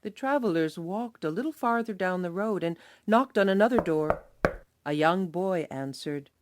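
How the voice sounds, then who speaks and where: A woman teacher reading a children's storybook aloud, slow and expressive. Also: on the low side, background noise. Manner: slow, expressive, lively voices for characters.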